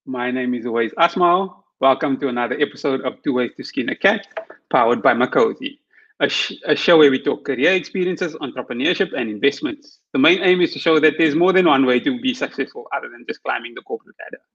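A man's voice: mid-range voice, lively intonation, fast talker, a bit bright, slightly rough, dull audio. A man hosting a live interview show, who asks his questions in a structured way. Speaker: podcast host.

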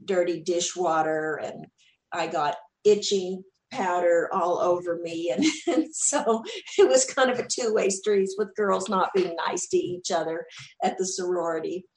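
A chatty woman from Texas with a Southern drawl, talking about her acting work in an easygoing interview. Her voice sits on the lower side, and the room around her rings with echo. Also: measured pace, a little hiss or hum. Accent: Southern US, Texan. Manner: chatty, warm, repeats words when starting. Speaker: actress, interview guest. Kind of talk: casual interview chat.

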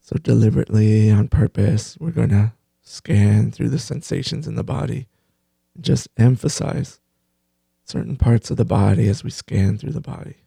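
Male meditation teacher: very soft dark tone, breathy, unhurried, gently pausing, close dry sound. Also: fairly low pitch, no room sound. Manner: gentle, unhurried, pausing between short phrases. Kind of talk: guided meditation.

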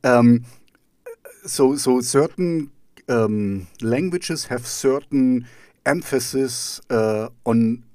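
A man's voice: mid-range voice, very slow, a little mellow, no room sound, studio quality. A German-accented man from East Germany, a native German speaker, hosting an interview in English and asking long, rambling questions full of restarts and fillers. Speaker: podcast host. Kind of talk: conversational interview.

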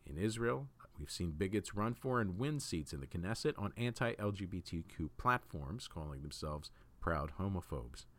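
A man reading written opinion articles aloud as a news host, in a measured, deliberate way with emphatic phrasing. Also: low voice, expressive.

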